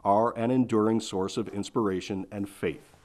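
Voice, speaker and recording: Low-voiced man reading a news report. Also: fairly even intonation, darkish tone. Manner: measured, formal reporter delivery. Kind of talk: scripted news narration.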